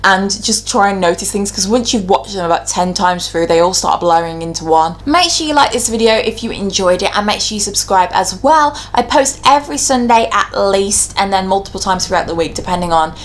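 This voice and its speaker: A woman's voice: medium pitch, lively intonation, brisk, hardly any pauses. A woman talking casually and informally as a video host, giving her opinions in a chatty, conversational way. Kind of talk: casual opinionated ranking commentary.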